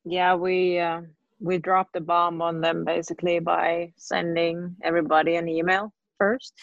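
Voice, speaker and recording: Slow, flat-voiced woman on a dull line. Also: fairly low pitch, creaky, no echo. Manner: steady, with many "uh" and "um" fillers. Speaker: gym owner and coach. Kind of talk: podcast interview answers. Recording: dull, dry lo-fi sound.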